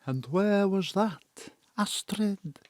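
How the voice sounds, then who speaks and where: An actress playing a knowing, encouraging Christmas spirit guide with a measured storytelling manner in an audio drama. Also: female voice, medium pitch, animated, a little mellow, dry recording, studio quality. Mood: guiding.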